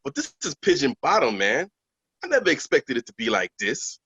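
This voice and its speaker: A man's voice: medium pitch, huge pitch swings, brisk, sharp tone, husky, no echo, lo-fi sound, faint music behind, exaggerated voice. A man playing a disgraced former star who returns home, sounding rueful and subdued, in a radio drama.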